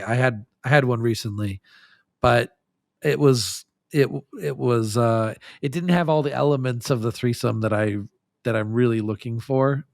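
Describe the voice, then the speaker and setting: Man, mid-pitched voice, podcast guest, candid casual chat, rambling delivery, dry recording. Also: vocal fry, no room sound.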